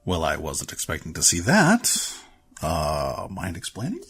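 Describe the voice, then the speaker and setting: A man playing a gruff, dryly taken-aback male traveler in an audio drama, with a raspy voice. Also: sits low, huge pitch swings, measured pace, fairly bright tone, soft background music, full character voice. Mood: awkward, dry.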